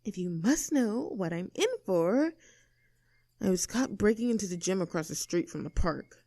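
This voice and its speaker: A woman's voice: mid-range voice, lively intonation, moderate pace, dark tone, dry recording. Bratty young mean girl, acted by a woman, close and dry. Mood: haughty, grudging. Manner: bratty, sarcastic, indignant. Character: snooty, abrasive young mean girl under arrest. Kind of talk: acted audio roleplay drama, addressing the listener.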